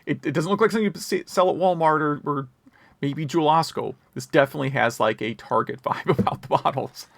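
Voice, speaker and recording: A man's voice: a little high, a little fast, vocal fry, no room sound. An American man co-hosting a podcast in casual chat, wry and opinionated. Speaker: podcast co-host.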